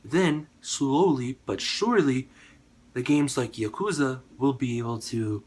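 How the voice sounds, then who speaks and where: A man's voice: mid-range voice, a little slow. A man with a cold and a partly lost voice, talking casually to camera in a rambling, conversational way. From United States. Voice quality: husky. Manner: rambling, conversational, with many fillers. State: sick, voice partly gone. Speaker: YouTuber.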